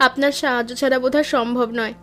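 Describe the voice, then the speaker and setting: A woman's voice: high-pitched, fairly even intonation, fast talker, a bit bright. A young woman voicing a sensible, traditional elder daughter-in-law in a cartoon, speaking politely and eagerly. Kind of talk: cartoon voice acting.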